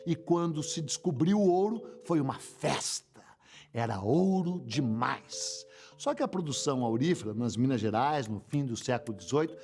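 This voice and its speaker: A man's voice: on the high side, a little mellow. A man narrating a history documentary in a wry, storytelling manner.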